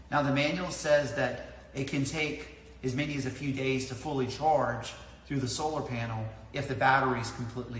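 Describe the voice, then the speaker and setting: Man reviewing gear in an echoey room. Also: mid-range voice. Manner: methodical, explaining points in order. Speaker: product reviewer. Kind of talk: explanatory product review to camera. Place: large indoor lounge with tiled floor. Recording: echoey hard-walled room, clear background noise.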